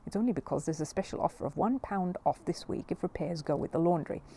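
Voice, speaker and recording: Woman playing a sceptical female housekeeper, storybook, brusque, dry, pointed, low mellow voice, faint noise. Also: lively intonation, quick pace. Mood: scornful.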